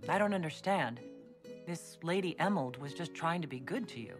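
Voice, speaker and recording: Game role: indulgent, gently amused warrior commander, measured phrasing with animated melody, music underneath. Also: female voice, sits low. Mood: puzzled. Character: composed, indulgent horned warrior woman, a leader. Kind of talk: video game voice acting.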